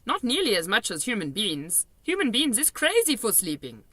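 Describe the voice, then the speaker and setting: A woman's voice: on the high side, huge pitch swings, moderate pace, fairly bright tone, no echo, voice pushed up, full character voice. A woman plays a kindly old giant from a children's storybook. He speaks in a raised, swooping voice, earnest and emphatic. His grammar is muddled and peppered with nonsense words. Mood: explaining.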